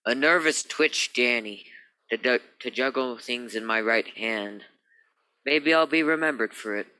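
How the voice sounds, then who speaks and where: An actor playing a nervous, musing medical examiner in a radio play, speaking in clipped, theatrical phrases. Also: male voice, mid-range voice, a little slow, pushed and bright, smooth, poor audio. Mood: musing, grim.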